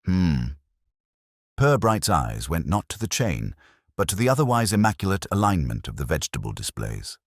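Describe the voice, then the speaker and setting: A man narrating a scripted mystery story in a measured, dryly wry manner. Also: deep voice, big pitch swings, no echo, studio quality.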